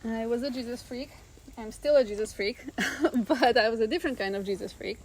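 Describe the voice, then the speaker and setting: A woman's voice: on the high side, brisk, rough recording. A woman from Romania speaking earnestly and reflectively as an interview guest, often with a laugh in her words. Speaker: guest, artist. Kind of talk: reflective personal conversation.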